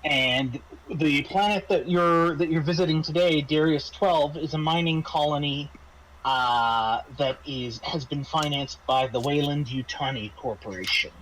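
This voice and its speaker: A man running a tabletop roleplaying game, casually briefing his players in a halting, explanatory way with frequent hesitations and restarts. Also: on the high side, a bit bright, slight background noise, rough recording. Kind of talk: semi-improvised briefing to players, narrating a scenario.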